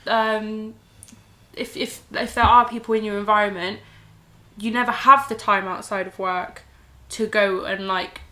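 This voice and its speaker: A young woman in her twenties with a bright, forceful voice. She chats casually and peppers her sentences with "like". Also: medium pitch, fairly even intonation, a little slow. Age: 20s. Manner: chatty, lots of "like" fillers. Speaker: guest, host's sister. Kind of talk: casual chat.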